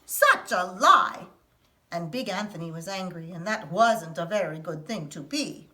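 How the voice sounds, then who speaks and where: A woman reading a children's picture book aloud in a put-on Italian accent, telling it in a lively, expressive way. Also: fairly low pitch, normal speed, low-quality recording.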